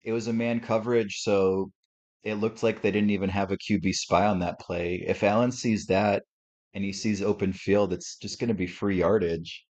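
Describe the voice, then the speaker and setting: Male host, very low sportscaster-like voice, relaxed and thinking aloud; dull lo-fi mic. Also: moderate pace. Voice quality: deep sportscaster-like radio voice. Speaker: live stream host. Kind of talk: casual live game reaction commentary. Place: home with a TV on. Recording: slightly muffled lo-fi audio.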